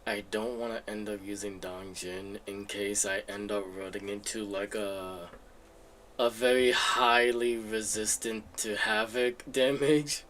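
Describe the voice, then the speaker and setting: A man's voice: on the low side, fairly even intonation, a little slow, fairly bright tone, a little hiss or hum. A man chatting casually to himself in a laid-back way while streaming a video game. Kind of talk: casual livestream chat while playing.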